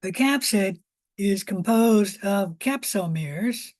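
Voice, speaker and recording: A woman with a hoarse voice giving an informal biology lecture, explaining step by step with pauses and repetition. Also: medium pitch, lively intonation, a little mellow.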